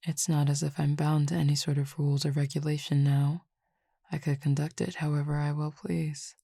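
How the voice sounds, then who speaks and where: A woman playing a calm, teasing Cupid roommate with formal, measured speech in an intimate roleplay audio drama. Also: sits low, vocal fry, slightly rough, dry recording, studio quality, exaggerated voice. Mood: teasing, fond.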